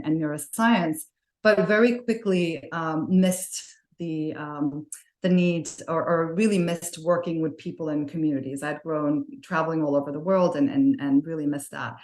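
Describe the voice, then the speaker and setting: A woman's voice: low-pitched, darkish tone. A middle-aged woman answering interview questions in a thoughtful way, with many fillers and restarts. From Libya. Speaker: podcast guest. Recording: roomy echo.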